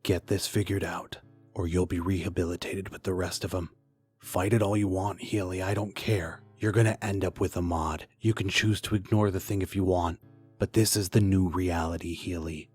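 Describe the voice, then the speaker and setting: A man acting out a stern, weary police officer in an audio drama. His voice is very low and slightly husky. He delivers pointed, lecturing warnings with little rise or fall. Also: fairly even intonation, moderate pace, darkish tone, faint music behind.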